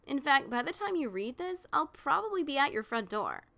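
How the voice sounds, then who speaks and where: A woman's voice: sits high, very animated, moderate pace, gravelly, fairly bright tone, pitched above the performer's own voice, full character voice. A woman voicing a brash, boastful adventurer mare pony with a scratchy voice and a teasing manner. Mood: wistful. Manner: cocky, giggly teasing. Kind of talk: audiobook character reading.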